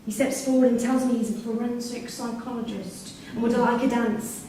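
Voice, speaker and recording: Distant echoey hall, woman acting a confrontational prison worker, high voice, emphatic, disillusioned, stage drama. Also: steady tone, normal speed, warm tone, close to an everyday voice. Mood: wry, bitter. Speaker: stage actor in a staged reading. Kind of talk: live theatre acting. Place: theatre performance space in Salford. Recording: echoey hall, distant mic, faint noise.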